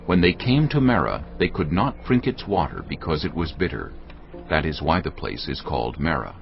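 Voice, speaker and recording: A man narrating scripture in a measured, steady storytelling voice. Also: rumbling low, gravelly. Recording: muffled, with music underneath.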